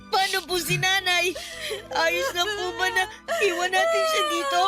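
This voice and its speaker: A woman's voice: very high-pitched, expressive, normal speed, over loud music. A woman voicing a tearful, timid young boy in a Filipino radio drama, pleading and plaintive. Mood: tearful, worried.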